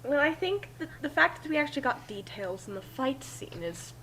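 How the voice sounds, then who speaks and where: A woman with a fairly high, very bright voice reads a story aloud as its narrator. She delivers it as a dramatic reading and slips into casual asides. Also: big pitch swings, normal speed, a little hiss or hum.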